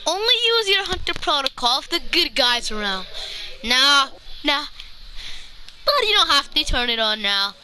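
High-pitched, animated roleplayer on a noisy mic. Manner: halting, repeats words. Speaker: player acting out a roleplay. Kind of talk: improvised video game roleplay acting. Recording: noisy home game recording with clicks.

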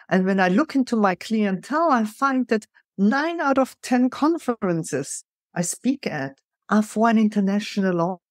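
Woman, middle-aged, mixed European accent, animated storyteller, dry close sound. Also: mid-range voice, no echo. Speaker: guest, keynote speaker. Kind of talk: storytelling interview answers.

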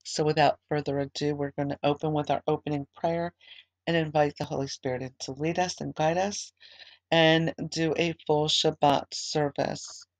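A slightly hoarse woman leading a small online religious service, giving announcements in a conversational way with fillers and restarts, then praying. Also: sits low, fairly even intonation, moderate pace, no echo. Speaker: ministry leader.

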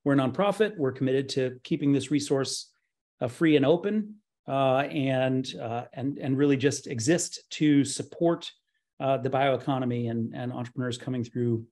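A middle-aged man giving an online slide presentation in halting, pause-filled phrases. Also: mid-range voice, a little mellow. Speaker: conference presenter, nonprofit co-founder. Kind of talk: slide presentation at a virtual expo. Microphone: webcam on a video call.

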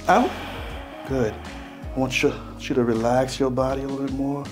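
A man's voice: mid-range voice, steady tone, moderate pace. Guy chiropractor, chill reassuring talk, keeps checking in, music behind him. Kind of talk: casual talk while treating a patient. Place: small chiropractic treatment room indoors.

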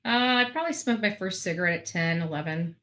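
A woman's voice: on the low side, animated, fast talker, a bit bright. A middle-aged American woman chatting casually on a video call, frank and informal in the way she talks. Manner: frank, informal, self-deprecating. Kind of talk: casual chat. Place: indoors at a desk on a video call.

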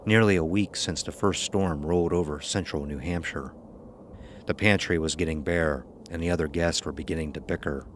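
A man's voice: very deep voice, normal speed, a little hiss or hum. A man giving scripted narration for a horror audio drama.

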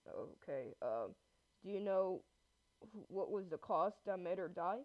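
Woman putting on a low, awkward policeman. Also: expressive, unhurried. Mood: awkward, matter-of-fact. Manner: hesitant, blunt, full of ums. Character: awkward male police officer and dispatcher. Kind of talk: amateur improvised roleplay drama. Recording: muffled lo-fi audio.

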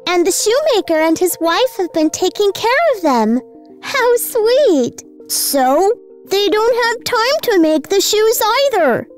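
A woman voicing a gentle, caring young child in a children's cartoon, sweet and earnest. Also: extremely high pitch, very animated, takes it slowly, soft background music, heavily acted. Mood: caring, upbeat. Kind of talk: animated children's story acting.

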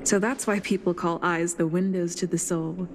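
A woman's voice: lowish voice, steady tone, moderate pace, noisy background, close to an everyday voice. A woman playing a game heroine who narrates her wry private thoughts. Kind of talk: video game voice acting.

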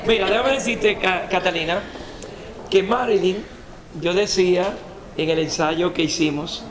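A man's voice: high-pitched, unhurried, reverberant, crowd noise, poor audio. A middle-aged man with a Dominican accent, chattily presenting a variety show as host. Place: indoor restaurant lounge.

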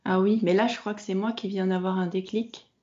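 Female interviewer, medium pitch, reflective conversational delivery, somewhat dull recording. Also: steady tone, a little fast, warm tone. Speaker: podcast host, French teacher. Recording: muffled, band-limited podcast audio.

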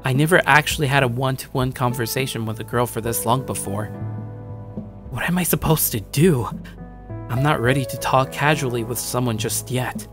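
A man's voice: medium pitch, moderate pace, natural voice. A man playing a shy young gamer loner over music. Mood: reflective. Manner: self-deprecating, stammering when talking to others. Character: shy, awkward young male gamer loner narrating his life. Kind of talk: first-person story narration with acted dialogue.

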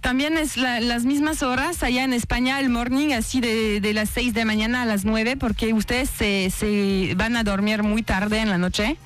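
A woman's voice: a little high, steady tone, moderate pace, hardly any pauses. A woman interpreting informally and live on a radio show, from a studio. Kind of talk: informal live interpreting between French and Spanish. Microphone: studio microphone on boom arm.

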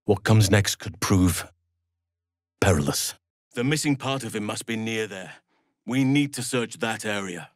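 Guy doing deep earnest nature druid for a video game, measured and formal, really dry sound. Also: no echo, close to an everyday voice. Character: solemn, gentle male druid of nature. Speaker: video game character voice actor. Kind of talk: dramatic video game dialogue.

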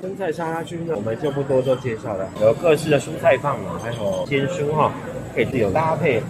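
A man's voice: mid-range voice, animated, a little fast. A man with a Taiwanese Mandarin accent, chatting casually as he walks through a busy hotel buffet and lists the dishes one by one, often adding little tags like "ha" and "a". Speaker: food vlogger. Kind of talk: casual restaurant walkthrough. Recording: noisy restaurant background.

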